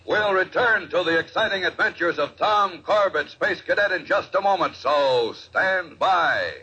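Male 1950s radio announcer, scripted adventure narration, raised pitch, muffled archival recording. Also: lively intonation, unhurried, pushed and bright, slight background noise. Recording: dull vintage radio recording.

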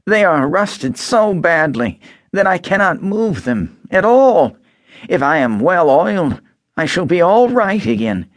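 Tin woodman, man voicing, high and slow, gentle and earnest, dry close sound. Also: sing-song, no echo. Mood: pleading. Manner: slow, drawn-out, high pleading. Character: creaky, polite, grateful male tin woodman.

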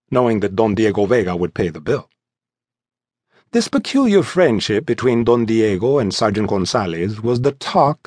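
A male narrator reads a scripted adventure story. His mid-pitched voice rises and falls in a lively, dramatic way. The recording is close and dry, with no room sound. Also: no echo. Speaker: audiobook narrator. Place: dry studio.

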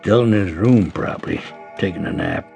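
Plainspoken old resident, very deep and gravelly, radio drama, faint music. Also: male voice, moderate pace, mellow. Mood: matter-of-fact. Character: plainspoken elderly male rest-home resident.

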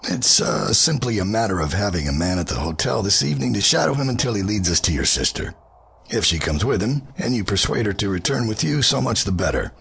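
Cool private detective, low gravelly voice, very raspy, laconic, matter-of-fact, reassuring, noir audio drama. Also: male voice, expressive, brisk, a bit bright, exaggerated voice.

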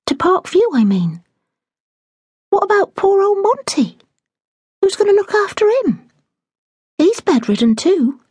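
A woman voicing an excitable young female servant, asking eager, breathless questions. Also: extremely high pitch, measured pace, pauses often, no room sound, clean studio sound, voice pushed up, exaggerated voice. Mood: pleading.

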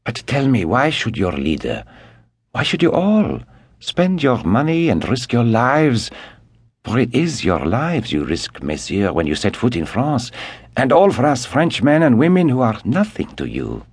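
A man's voice: mid-range voice, wildly swooping pitch, a little slow, breathy edge, no room sound, clean studio sound, pitched above the performer's own voice, exaggerated voice. A man voicing an earnest, pleading French noblewoman.

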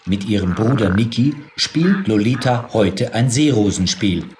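A man's voice: fairly low pitch, expressive, a little slow, a little mellow, slight background noise. A man reading scripted narration for a children's audiobook.

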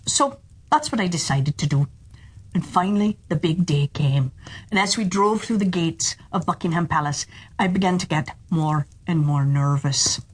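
Woman, storyteller, theatrical delivery, playful, expressive and deliberate, audiobook narration for children. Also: fairly low pitch, breathy edge.

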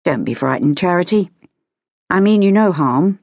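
A narrator playing an educated, patient gentleman academic in an audiobook, speaking in a measured, formal and precise way. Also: mid-range voice, animated, no room sound, clean studio sound. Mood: earnest.

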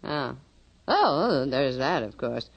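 An actress playing an imperious, sharp-tongued wealthy older widow in a 1940s radio drama, with a haughty, catty, theatrical manner. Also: female voice, very deep voice, a little slow, creaky, full character voice. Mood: grand, confiding.

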